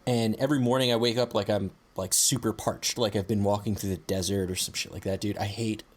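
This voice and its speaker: A man's voice: on the low side, steady tone, brisk. A young man co-hosting a podcast from his city apartment, laid-back, swearing and full of fillers. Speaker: podcast co-host. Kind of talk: casual chat.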